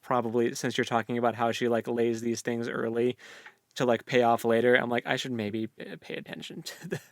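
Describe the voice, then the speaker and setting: A young man co-hosting a book discussion, chatting informally and enthusiastically in halting phrases. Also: medium pitch, steady tone, vocal fry, no echo, clean studio sound. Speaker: book podcast co-host.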